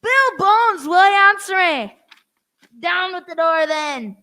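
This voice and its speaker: Woman playing a shrill, bullying old pirate man. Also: animated, slow pace, exaggerated voice. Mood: fierce, bullying. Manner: shouted, commanding declamation. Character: old pirate Pew, male, bullying then pleading. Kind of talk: youth stage radio play acting. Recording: very noisy live play recording.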